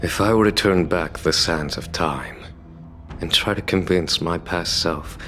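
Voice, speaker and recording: A wise, courteous game commander with a deep voice. He speaks formally and takes his time over each phrase, measured and deliberate. Music plays clearly behind him. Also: a bit bright. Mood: wistful, reflective. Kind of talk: video game dialogue acting. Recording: background music under the voice.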